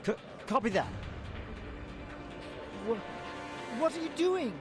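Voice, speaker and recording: An actor playing a timid, flustered guard underling in a video game, stammering and panicky. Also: male voice, extremely high pitch, big pitch swings, takes it slowly, over loud music, exaggerated voice. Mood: nervous, startled.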